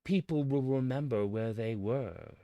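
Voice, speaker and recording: Man, mock-academic monologue, pompous and dramatic, slow deliberate pacing, dry close sound. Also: medium pitch, warm tone, no echo. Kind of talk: mock-scholarly scripted monologue.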